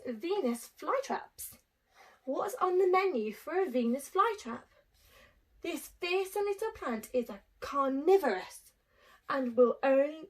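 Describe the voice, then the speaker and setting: Casting: woman, higher-pitched voice, primary teacher doing an expressive read-aloud for young pupils with simple asides. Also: poor audio. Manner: addresses young children, explaining simply with rhetorical tag questions. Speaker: infant school teacher reading to pupils.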